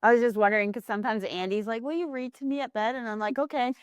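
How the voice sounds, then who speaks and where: A woman podcast host telling an anecdote in an animated, casual way. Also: on the high side, fast talker, clear, resonant tone, dry recording, clean studio sound.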